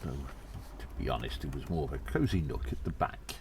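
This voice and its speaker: A man voicing a gnome adventurer who earnestly narrates his exploits in an audio drama, with a theatrical, halting delivery. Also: extremely low pitch, animated, noisy background. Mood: earnest, conspiratorial.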